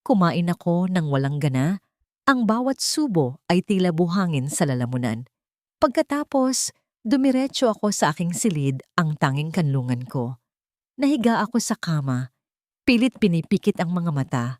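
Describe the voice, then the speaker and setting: A woman narrating a dramatic first-person story in an emotive, sorrowful, measured way. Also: fairly low pitch, big pitch swings, darkish tone, no room sound, clean studio sound.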